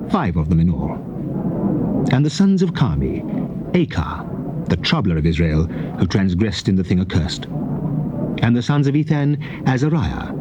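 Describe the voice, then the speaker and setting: Over clearly noisy old cassette tape, a man with a low voice reads scripture aloud. His delivery is solemn and measured, declaimed in the manner of a dramatized King James Bible, with lively rises and falls in pitch. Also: muffled recording. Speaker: scripture narrator.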